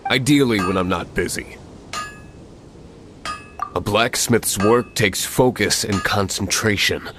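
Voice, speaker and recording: An actor playing a gruff, curt, impatient male blacksmith in a video game. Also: fairly low pitch, takes it slowly, noisy background. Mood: grudging. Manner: curt, grumbling, sighing.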